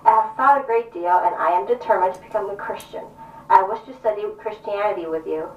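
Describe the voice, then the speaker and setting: A woman's voice: mid-range voice, fairly quick, a little hiss or hum, lightly acted. A woman playing an earnest young male convert in a puppet show, reciting formally. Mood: earnest, determined. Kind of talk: stage puppet show acting. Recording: distant echoey muffled stage recording.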